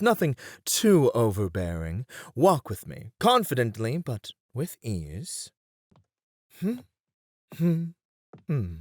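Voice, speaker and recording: A man playing a suave, playfully formal etiquette tutor in an ASMR roleplay, with theatrical, deliberate, flowery phrasing. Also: medium pitch, dry recording, studio quality, exaggerated voice. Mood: soft, suggestive.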